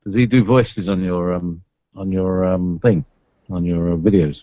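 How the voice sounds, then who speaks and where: A man hosting a casual internet radio chat over a video call, rambling and halting with lots of fillers. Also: low-pitched, steady tone, no room sound, phone-quality sound.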